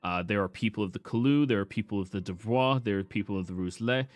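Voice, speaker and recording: Casting: lowish-voiced man as game master, measured narration, dead-dry mic. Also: no echo. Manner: measured, fillers while describing.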